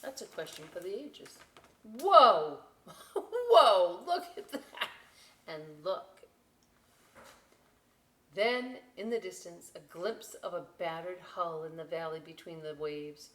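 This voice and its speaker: Woman, storytime host, slow pace, emphatic, informal, roomy echoing sound. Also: fairly low pitch. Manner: expressive, emphatic, unhurried delivery. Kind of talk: children's read-aloud with informal asides. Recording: echoey room.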